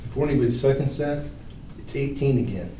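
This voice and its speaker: A man's voice: mid-range voice, fast, mellow. Man, board member, blunt, roomy distant sound, noisy. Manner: blunt, pushes to move on. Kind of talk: board meeting discussion. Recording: roomy, distant meeting-room mic with noise.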